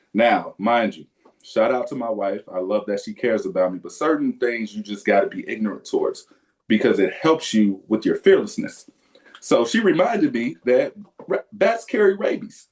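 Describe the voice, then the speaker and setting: A man casually telling a profane, animated story as a podcast co-host. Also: fairly low pitch. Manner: animated, profane, loud yelps when acting out.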